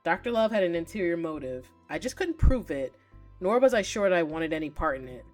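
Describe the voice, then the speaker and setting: Woman voicing wary young man, visual novel narration, guarded, dry, measured. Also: fairly low pitch, sing-song, soft background music. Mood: suspicious. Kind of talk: reading visual novel text aloud.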